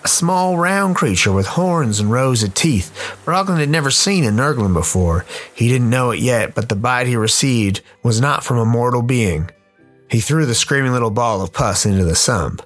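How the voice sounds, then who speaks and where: A man hosts and narrates a scripted radio play with dramatic, wry delivery. His pitch swings in a lively way over a medium register, with faint music behind him. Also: normal speed. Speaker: host and narrator.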